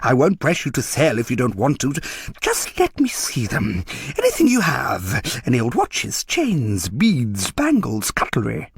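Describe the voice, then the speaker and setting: A wheedling old junk dealer acted by a male narrator from a storybook reading. The voice climbs high and swings about in a sibilant, coaxing chant, with a dry, close sound. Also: wildly swooping pitch, measured pace, creaky, slightly rough, no echo, heavily acted. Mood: coaxing, eager. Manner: coaxing, sing-song patter, rattling off lists.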